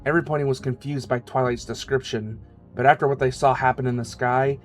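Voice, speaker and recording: Male narrator, mid-pitched, scripted storytelling with music underneath. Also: fairly even intonation, a little fast.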